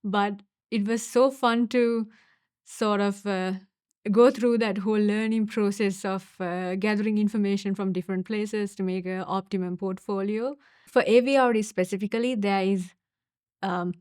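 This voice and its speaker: A young woman from Sri Lanka, a university student giving a casual testimonial, thoughtful and unhurried with small pauses and fillers. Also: mid-range voice, steady tone, darkish tone, smooth, no room sound, clean studio sound.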